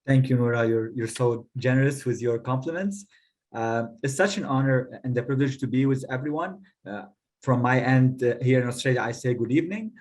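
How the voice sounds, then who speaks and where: Guy in his 30s, medium pitch, telling his life story on a panel, measured and well spoken. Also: a little mellow. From Lebanon. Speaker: guest speaker telling their story.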